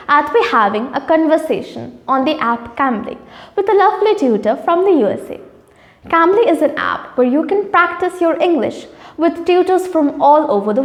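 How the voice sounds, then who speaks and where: A woman with a high voice narrates a promotional intro, bright and smoothly read. Her voice rings in a roomy space with a long reverb tail, like a hard-walled room. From India. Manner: upbeat, smooth, evenly read. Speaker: channel host.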